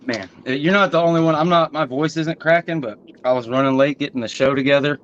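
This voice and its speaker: A man's voice: on the high side, quick pace, dull audio. A man hosting a casual sports talk show, rambling with fillers and restarts. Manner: loose, rambling, filler-heavy. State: sweaty, rushed. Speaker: podcast host.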